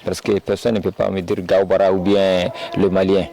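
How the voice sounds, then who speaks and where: Male interviewee, deep monotone voice, calm and repetitive. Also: normal speed, vocal fry.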